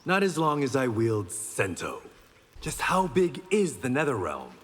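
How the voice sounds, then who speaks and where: A man's voice: medium pitch, wildly swooping pitch, measured pace. An actor playing a resolute, wry blind swordsman in a fighting game, speaking with dry confidence and determination. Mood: wry, confident. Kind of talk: fighting game character banter. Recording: long reverb, faint background noise.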